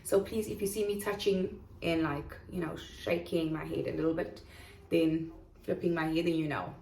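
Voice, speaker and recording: A woman's voice: sits low, steady tone, normal speed, vocal fry, a little mellow, slight background noise. Chatty Durban woman, echoey room. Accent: Durban South African. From South Africa. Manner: chatty, keeps checking in with "okay?" and "basically". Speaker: online English coach and YouTuber. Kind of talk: casual instructional vlog.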